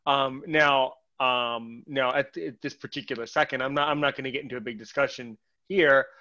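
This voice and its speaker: A man's voice: medium pitch, steady tone, brisk, bright, forceful tone. Rambling man with muffled audio. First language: English. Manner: rambling, many restarts. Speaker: podcast host. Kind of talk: casual conversation.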